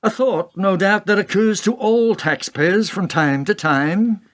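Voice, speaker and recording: An actor playing a defiant, principled American president in a radio play, speaking in rhetorical, oratorical questions. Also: male voice, high-pitched, measured pace. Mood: measured, resolute. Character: defiant, principled male American president, indignant yet composed.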